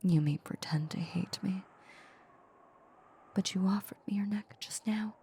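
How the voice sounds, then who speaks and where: A woman playing a seductive, possessive female vampire in a breathy, whispered ASMR audio roleplay, lingering and teasing on her words. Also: medium pitch, measured pace, mellow, a little hiss or hum, lightly acted. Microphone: close microphone.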